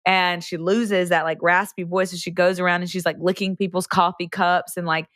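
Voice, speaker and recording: A woman co-hosting a podcast in casual chat, hoarse after an illness, telling stories in a loose, chatty way. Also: lowish voice, flat delivery, moderate pace, fairly bright tone, no room sound, studio quality. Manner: chatty, loose storytelling with many fillers. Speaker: podcast co-host and middle school teacher.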